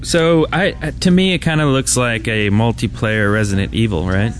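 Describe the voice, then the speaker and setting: A man's voice: medium pitch, moderate pace, a little hiss or hum. A man co-hosting a podcast, chatting casually on a convention floor. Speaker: podcast co-host. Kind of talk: casual chat.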